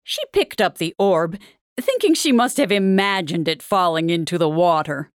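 A woman narrating a storybook tale from a script in a dramatic, deliberate manner. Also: lowish voice, lively intonation, clear, resonant tone, dry recording, studio quality.

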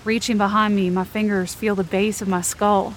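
A woman's voice: mid-range voice, little pitch movement, normal speed, a little hiss or hum. A woman performing scripted first-person fiction narration as a tense, searching inner monologue.

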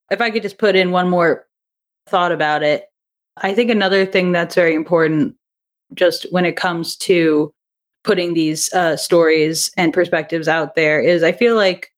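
A woman speaking as an interview guest, a creator explaining her work in a casual, talkative, loosely structured way. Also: lowish voice. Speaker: interview guest, audio drama writer and director.

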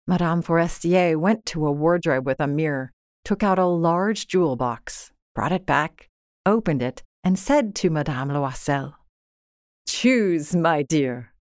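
Woman, audiobook narrator, lowish pitch, measured, expressive, dramatic, scripted story reading, dry close sound. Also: dry recording.

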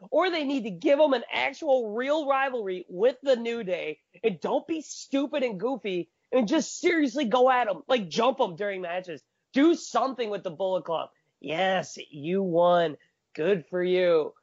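A man's voice: high voice, lively intonation, unhurried, no room sound. A man co-hosting a casual podcast, ranting with exasperated opinions. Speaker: podcast co-host. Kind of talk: casual wrestling review chat. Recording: dull lo-fi podcast mic.

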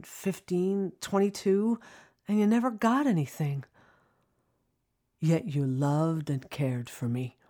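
Slow, low-voiced grieving daughter. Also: female voice, mellow. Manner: confessional, slow, reflective. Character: grieving adult daughter remembering her dying mother, regretful, tender. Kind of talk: audio drama monologue.

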